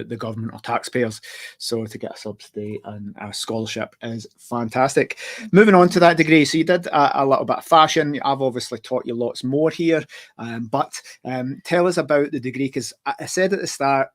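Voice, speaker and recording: Scottish man hosting with playful banter. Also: mid-range voice. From Scotland. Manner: joking, self-deprecating banter with fillers. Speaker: podcast host. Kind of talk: casual interview chat.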